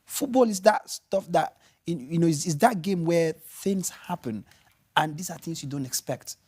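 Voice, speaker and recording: A Nigerian man whose first language is Igbo, co-hosting a casual football chat show, talking in an animated, rambling way with many restarts. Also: a little high, a little slow, husky. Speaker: show co-host, pundit.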